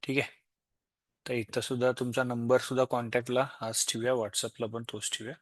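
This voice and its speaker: A man with an Indian Marathi accent presents an informal screen tutorial. His voice sits at a medium pitch, and he explains each step, dropping in English tech terms. The recording is close and dry, with hardly any room sound. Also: flat delivery, moderate pace, no echo. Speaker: software demo presenter.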